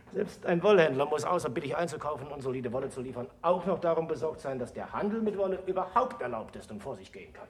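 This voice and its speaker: Bitter disgraced scholar, noisy old recording. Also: male voice, a little high, very animated. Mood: earnest, insistent. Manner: deliberate, oratorical, declaiming. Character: disgraced scholar, bitter, self-accusing, solemnly lecturing. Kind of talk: German radio play acting.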